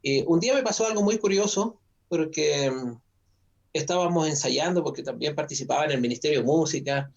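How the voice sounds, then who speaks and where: A man, a pastor, telling his story as an interview guest in a measured way with fillers. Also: a little high, creaky, darkish tone. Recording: dull lo-fi audio.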